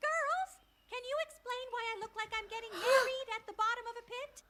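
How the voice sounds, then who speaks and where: Frantic female cartoon pony, very high, rapid, incredulous, breathless. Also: sharp tone, slight background noise. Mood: frantic disbelief.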